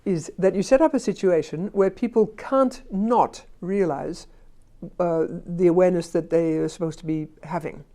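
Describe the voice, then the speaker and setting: A woman's voice: lowish voice, normal speed, mellow. A woman and language teacher in a conversational discussion, emphatic and asking herself rhetorical questions.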